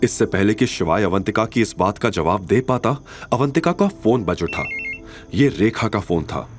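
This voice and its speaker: Man narrating a drama, expressive intonation. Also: lowish voice, moderate pace, music in the background. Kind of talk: scripted dramatic story narration.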